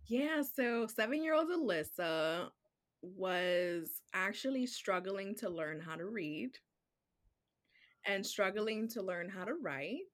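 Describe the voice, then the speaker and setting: A woman guest whose first language is Spanish, telling her story in a relaxed, chatty way. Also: medium pitch, takes it slowly. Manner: relaxed, chatty, heavy use of "like" and "um". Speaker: children's book author, guest. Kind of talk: casual podcast conversation.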